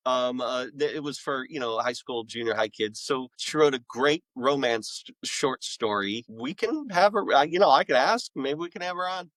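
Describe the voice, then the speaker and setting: A man with a mid-range voice chats as a guest author on a podcast. He speaks informally and haltingly, wandering from one thought to the next. The sound is dry, with very little room in it. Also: medium pitch, a bit bright, no room sound, poor audio. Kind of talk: casual conversational interview.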